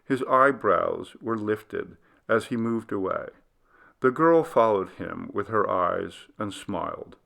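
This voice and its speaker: A man narrating a literary ghost story in a measured, deliberate storytelling manner. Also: lowish voice, lively intonation, vocal fry, fairly bright tone. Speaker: audiobook reader.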